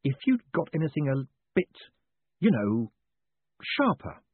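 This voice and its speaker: A man voicing a smooth con man in an audiobook, feigning innocent enthusiasm in a hesitant, ingratiating way. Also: medium pitch, very animated, measured pace, no echo, voice pushed up. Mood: coy, ingratiating. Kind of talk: audiobook character acting. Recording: muffled narrow phone-like sound.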